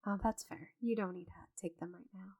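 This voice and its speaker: A woman playing a friendly, chatty, slightly scatterbrained forest witch in an ASMR roleplay audio drama, with a gentle, theatrical manner. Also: medium pitch, steady tone, normal speed, breathy edge, no room sound, clean studio sound. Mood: nervous feigned innocence.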